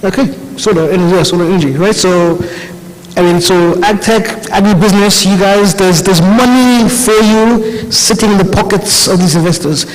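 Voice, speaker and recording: A man's voice: high-pitched, moderate pace. A man with a foreign accent giving a casual, chatty talk at a conference, often correcting himself mid-sentence. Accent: foreign, European-tinged. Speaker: conference speaker. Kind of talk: conference presentation. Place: conference hall. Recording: hall reverb from a large room.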